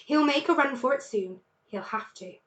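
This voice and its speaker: A woman's voice: high voice, expressive, normal speed, a bit bright, pitched above the performer's own voice. Woman as grim waiting farmers, echoey. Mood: grim, impatient. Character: grim adult male farmers lying in wait. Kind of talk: children's storybook read-aloud.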